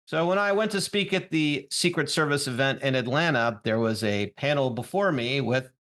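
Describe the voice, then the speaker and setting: A man's voice: mid-range voice, expressive, normal speed, fairly bright tone. A man co-hosting a podcast, reading a scripted intro and trading dry, teasing jokes with his co-host. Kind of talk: scripted intro and joking banter.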